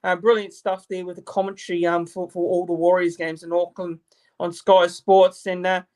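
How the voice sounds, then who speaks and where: A man's voice: high-pitched, flat delivery, normal speed, a little mellow. A man from New Zealand with a New Zealand accent, hosting a casual live-stream interview on a video call, talking with many fillers and restarts. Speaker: YouTube channel host.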